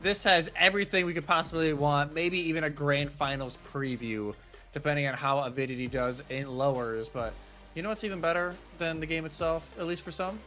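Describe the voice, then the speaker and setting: A man's voice: on the high side, fairly quick, pushed and bright. A man working as an esports caster, giving a casual, conversational match preview with emphatic phrasing. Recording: music playing behind. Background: background music.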